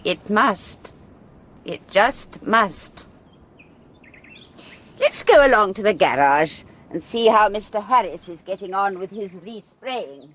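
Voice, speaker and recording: A woman's voice: mid-range voice, animated, slow, a bit bright. An actress playing a genteel, composed elderly lady masterminding a crime in a radio drama, polite, precise and firm. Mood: grave, determined. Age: older.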